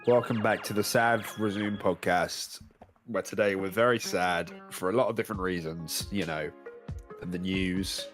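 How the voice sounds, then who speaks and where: A man's voice: deep voice, fairly even intonation, moderate pace, fairly bright tone, soft background music. A young man co-hosting a podcast in casual chat, his throat sore and cracking, nose stuffy, laughing often as he rambles. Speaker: podcast co-host.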